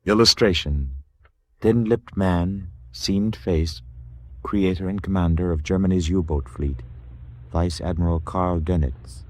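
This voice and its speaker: A man playing an authoritative documentary announcer in a 1940s radio drama, measured, formal and terse. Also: low-pitched, darkish tone. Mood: stern, measured. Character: authoritative male documentary announcer describing war scenes. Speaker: second narrator.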